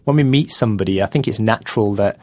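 A man's voice: lowish voice, quick pace, darkish tone, dry recording. A man in his thirties with a Manchester accent, a guest speaking casually in an interview, hesitant with many fillers and restarts. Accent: Manchester English. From England. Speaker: guest artist.

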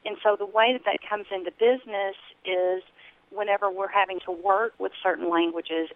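A woman's voice: medium pitch, dry recording. Southern kentucky woman calling in, phone line sound real tinny, dry, explains stuff plain and sure. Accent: Southern American. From West Kentucky, US. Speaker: translation company owner, guest. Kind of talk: radio interview, explaining. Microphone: telephone.

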